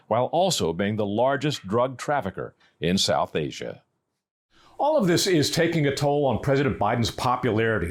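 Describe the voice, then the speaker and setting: American man narrating a scripted news report. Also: mid-range voice.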